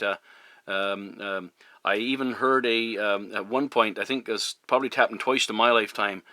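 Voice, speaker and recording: Bright-voiced, courteous middle-aged man on radio. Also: on the low side, dry recording. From Newfoundland, Canada. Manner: courteous, hesitant, halting phrasing. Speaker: CB radio operator. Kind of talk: casual radio contact chat. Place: at a CB radio station. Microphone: radio microphone.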